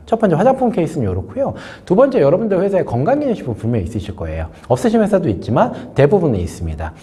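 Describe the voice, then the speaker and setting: A man's voice: mid-range voice, warm tone. A man hosting a spoken business lesson, talking casually with many fillers and asides while nursing a sore throat from a cold. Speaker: host giving a business lesson. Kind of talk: casual spoken lecture to camera.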